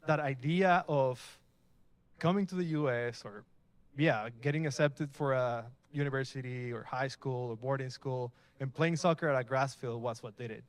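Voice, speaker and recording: A man's voice: medium pitch, normal speed, no echo. A young adult man from Mexico gives a casual, upbeat talk at a live tech meetup, asking the audience questions as he goes. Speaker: meetup speaker. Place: event space with a live audience.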